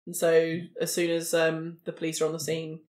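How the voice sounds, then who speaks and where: Roomy-sounding tabletop player, medium pitch, casual improvised storytelling. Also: monotone, normal speed, darkish tone.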